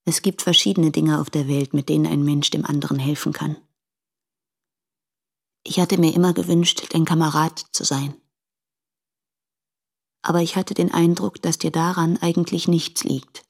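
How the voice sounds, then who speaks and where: Husky, regretful woman letter writer. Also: low voice, fairly even intonation, normal speed, pauses often, heavily acted. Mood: regretful, tender. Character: tender, regretful woman writing to her former lover. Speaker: radio drama actor. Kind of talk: intimate literary German radio play monologue.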